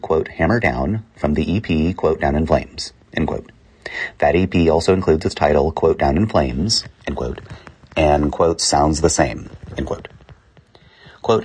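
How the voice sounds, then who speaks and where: A man reading newspaper articles aloud in a steady, unhurried way, saying the quote marks as he goes and sometimes slipping and restarting a word. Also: a bass voice, breathy edge. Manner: steady, unhurried delivery with small slips and restarts. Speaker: newspaper reader.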